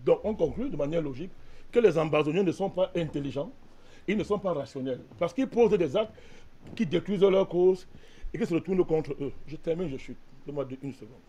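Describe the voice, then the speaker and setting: A man's voice: on the high side, expressive, fairly quick, mellow. A man from Cameroon, a panelist in a heated political debate, arguing insistently and keeps speaking to the host by name. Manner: insistent, argumentative, repeats a direct address to the host.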